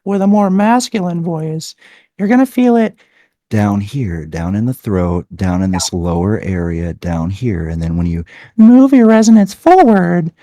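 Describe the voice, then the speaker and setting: A woman's voice: a bass voice, sing-song, a little slow, dark tone, no echo, clean studio sound. A woman voice coach talking casually and animatedly about resonance, with many "like"s. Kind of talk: casual chat.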